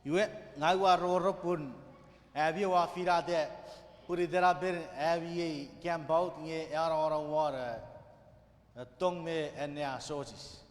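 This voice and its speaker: Man, sermon interpreter, fairly high voice, emphatic bursts, long reverb. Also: steady tone, a little slow. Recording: reverberant hall.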